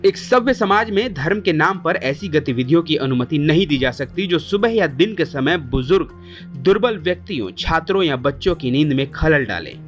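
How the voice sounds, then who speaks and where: Higher-pitched man reading a news explainer. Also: expressive, normal speed, soft background music. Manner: formal, addresses viewers with rhetorical questions. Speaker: news presenter. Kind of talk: scripted news explainer narration.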